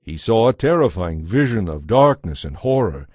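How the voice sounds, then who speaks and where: A man narrating scripture from a script as a solemn, measured storyteller. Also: on the low side, big pitch swings, a little mellow, breathy edge, no echo, studio quality.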